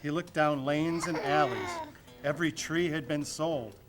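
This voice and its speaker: A man's voice: fairly high pitch, fairly even intonation, measured pace. A man reading a rhyming children's story aloud, with music playing behind him. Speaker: storybook reader.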